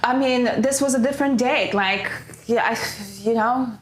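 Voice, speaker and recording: A woman's voice: fairly high pitch, a little slow, reverberant, rough recording. A young woman from Ukraine co-hosting a podcast as a comic, laughing often and arguing her points plainly with slightly non-native phrasing. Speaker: podcast co-host and stand-up comic. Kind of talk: casual chat.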